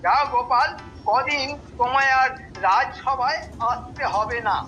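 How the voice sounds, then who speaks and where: A pompous Bengali-accented cartoon villain, a male minister, with a high, forceful voice. He is haughty and curt, heard in dull sound over faint music. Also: a little slow. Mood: haughty threatening.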